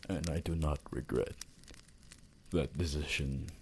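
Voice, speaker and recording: A man's voice: rumbling low, fairly even intonation, unhurried, darkish tone, a little hiss or hum. A man voicing an ancient, courtly vampire lord in an ASMR roleplay, formal, theatrical and romantically devoted. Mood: wistful, adoring.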